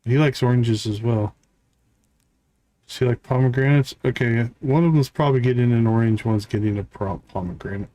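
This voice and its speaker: A man's voice: mid-range voice, normal speed, mellow, no room sound. A man giving casual live gameplay commentary as a streamer, thinking aloud to himself in short fragments. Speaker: game streamer.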